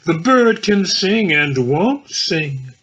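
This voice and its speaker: Man playing smirking young woman, teasing, high husky voice, literary drama, dull lo-fi. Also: sing-song, takes it slowly, a bit bright, heavily acted. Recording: dull lo-fi home recording.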